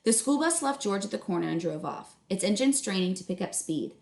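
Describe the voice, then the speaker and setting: A woman's voice: medium pitch, animated, brisk, gravelly, darkish tone. Female teacher, mid-range voice, narrating a storybook and quizzing listeners instructively, in a reverberant room. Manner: instructive, questioning. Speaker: teacher reading aloud. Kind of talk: read-aloud narration with questions to students. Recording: echoey room.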